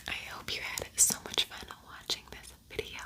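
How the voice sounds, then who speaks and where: A woman whispering a casual ASMR makeup tutorial in a breathy, unvoiced voice, gently encouraging her viewers. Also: normal speed. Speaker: ASMR video creator and makeup tutorial host.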